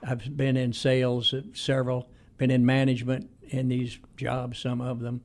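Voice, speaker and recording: Creaky-voiced man in his eighties. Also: medium pitch, monotone, normal speed, a little mellow. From Indiana, United States. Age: 80s. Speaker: interview guest. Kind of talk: conversational reminiscing interview answers.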